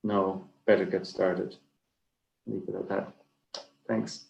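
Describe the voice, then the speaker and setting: Slow, gravelly deep man, echoey. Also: little pitch movement, darkish tone, dull audio. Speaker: writer, panel guest. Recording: noisy echoey room.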